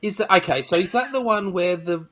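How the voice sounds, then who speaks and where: A man with a slightly high voice takes part in a casual film debate. He sounds sceptical as he trades views with the other panelists. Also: a little fast, bright, forceful tone. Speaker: podcast panelist.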